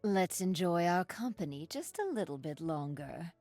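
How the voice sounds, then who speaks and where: A haughty female serpent villain from a video game. Her voice is silky and rather low, swooping widely as she taunts. She is venomous and seductive, heard dry and close over faint music. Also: animated, normal speed, a little mellow, no room sound. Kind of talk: video game champion voice lines.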